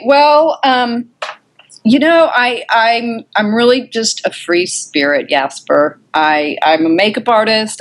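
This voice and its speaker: A woman from Texas, a guest on a podcast interview, talking about her work in a relaxed, chatty way with many ums and you-knows. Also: on the low side, poor audio. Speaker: podcast guest, makeup artist and travel blogger. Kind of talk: casual interview chat.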